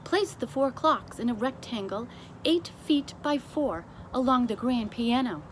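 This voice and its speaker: Woman as male royal butler, storybook dialogue, formal, precise, composed authority, clearly noisy homemade recording. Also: a little high, lively intonation, voice pushed up. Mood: commanding, composed. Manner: formal, precise, giving measured orders.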